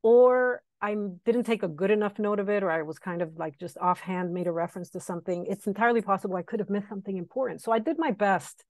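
A woman in her thirties with a Miami Cuban accent, a guest historian talking on a video call through earbuds, chatty and quick to laugh. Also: medium pitch, a little mellow, no echo. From Miami, Cuban-American. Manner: chatty, laughs easily, restarts phrases. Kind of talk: academic seminar talk. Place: home room. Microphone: wired earbud mic on video call.

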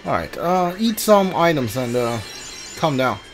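A game streamer giving casual commentary, thinking aloud haltingly and muttering through lists over game music. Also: medium pitch, big pitch swings. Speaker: streamer playing a video game.

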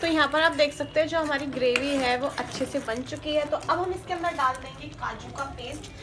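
High-voiced woman guiding a home recipe. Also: brisk, noisy background. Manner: steady instructional, repeats each step. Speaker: cooking channel host. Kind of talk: step-by-step recipe voice-over. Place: home kitchen. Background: sizzling and stirring in a pan.

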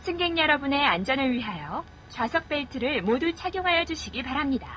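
A woman reading scripted transit announcements as a bus stop announcer, in a formal, measured way. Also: high-pitched, expressive. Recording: noisy recording inside a moving bus. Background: vehicle noise.

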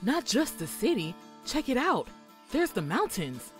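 A woman acting a steady, practical friend turned thief in an audio drama. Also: on the high side, measured pace, faint music behind. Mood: wry, impressed.

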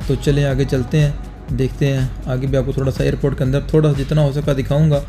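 A young man from Pakistan narrating a casual travel vlog at night outside an airport, talking calmly to viewers through a phone held at arm's length. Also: medium pitch, fast talker, faint music behind. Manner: calm, explanatory, talks to viewers directly. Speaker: travel vlogger. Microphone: phone camera held at arm's length.

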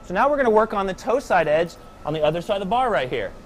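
Male snowboard coach, thirties, higher voice, calm clipped cues, faint hum behind. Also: fast talker. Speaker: snowboard instructor. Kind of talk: step-by-step instructional demonstration. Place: indoor training slope under a roof.

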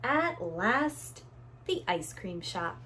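A woman in her thirties reading a picture book aloud to young children, with deliberate, expressive phrasing. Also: mid-range voice, slight background noise. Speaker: preschool teacher, story time host. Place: small room indoors with bookshelves.

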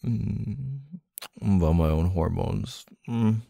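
Man acting as deep, gravelly, teasing boyfriend. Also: animated, dry recording, studio quality, exaggerated voice. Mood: flustered, teasing. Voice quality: low, gravelly, soft. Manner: teasing, murmuring, hesitant pauses and hums. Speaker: audio roleplay performer. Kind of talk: intimate ASMR boyfriend roleplay.

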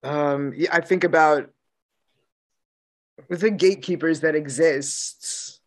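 A man working as a literary manager, interviewing a guest on a video call and speaking thoughtfully, with some hesitant pauses. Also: on the high side, fairly even intonation. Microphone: video call, webcam.

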